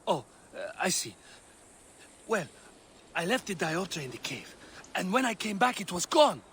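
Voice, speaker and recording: An actor playing a cowardly, rambling construction worker in a video game, nervous and stammering. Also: male voice, high voice, sing-song, moderate pace, sharp tone, a little hiss or hum, heavily acted. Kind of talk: comic video game cutscene dialogue.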